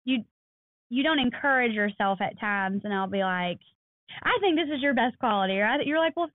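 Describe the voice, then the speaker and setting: Woman, thirties, animated storytelling, thin phone-like audio, dry room. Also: fairly high pitch, a little fast, no echo. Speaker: podcast co-host. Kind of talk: casual chat.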